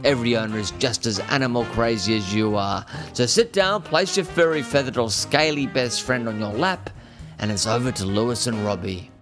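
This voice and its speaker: A man's voice: mid-range voice, normal speed. A man reading a scripted podcast intro in an upbeat, lightly jokey way. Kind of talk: scripted narration. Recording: voice over guitar music bed.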